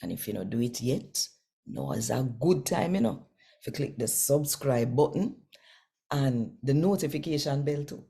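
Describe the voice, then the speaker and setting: A woman with a Jamaican accent, reading scripture aloud and addressing viewers in an expressive storytelling way with deliberate pauses. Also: rumbling low, vocal fry.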